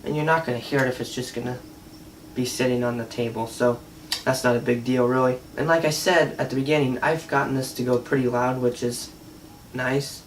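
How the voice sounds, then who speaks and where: A man's voice: mid-range voice, steady tone, brisk, a little hiss or hum. A man giving a casual, unscripted product demo in a hesitant, conversational way. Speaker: product reviewer. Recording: distant mic, faint music at times.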